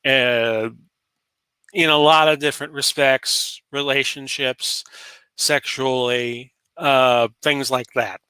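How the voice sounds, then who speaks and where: A man's voice: medium pitch, fairly even intonation, slow pace, bright, forceful tone, clean tone, dry recording. A man in his late forties from Florida, hosting a solo talk show in a rambling, casual way full of fillers and restarts. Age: 40s. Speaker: podcast host.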